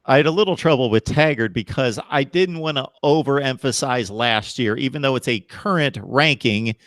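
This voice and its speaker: A man hosting a college football podcast, talking in a measured, analytical way. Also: mid-range voice, a bit bright, no echo. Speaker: podcast host. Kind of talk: casual sports podcast chat.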